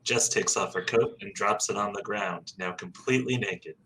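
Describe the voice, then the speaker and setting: A man with a lowish voice narrates stage directions from a script. Faint noise sits behind him. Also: normal speed, a bit bright, poor audio.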